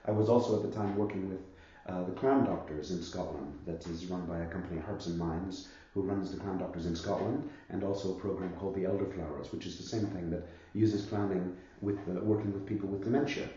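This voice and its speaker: A husky man in his fifties with a cold speaks in a low, mellow voice, weighing each thought and circling back as he goes. The sound is muffled and heavily echoey, like a stream from a bare room. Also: fairly even intonation, a little fast, hardly any pauses. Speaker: guest, actor and theatre director. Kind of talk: reflective conversation about his career.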